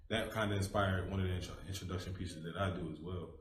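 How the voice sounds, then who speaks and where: A man's voice: low-pitched, fairly even intonation, fast talker, echoey room. A man hosting a casual interview, laid-back and affirming, often giving "mm-hmm"s and asking questions. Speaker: interview host.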